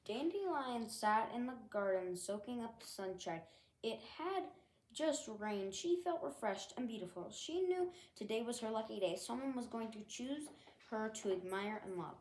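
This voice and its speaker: A woman reading a children's picture book aloud as a storyteller, with expressive narration. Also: on the high side, normal speed, reverberant, slight background noise.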